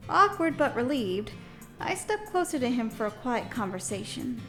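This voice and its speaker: High, animated young heroine narrating actress. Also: female voice, moderate pace, soft background music. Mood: observant. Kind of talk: visual novel audio drama narration.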